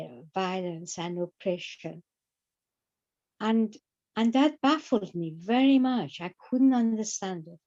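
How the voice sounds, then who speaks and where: A woman asking a question in an online discussion, speaking slowly and carefully with many pauses over a video call. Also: medium pitch, warm tone. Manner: slow, halting, careful. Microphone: video call microphone. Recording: dull lo-fi call audio.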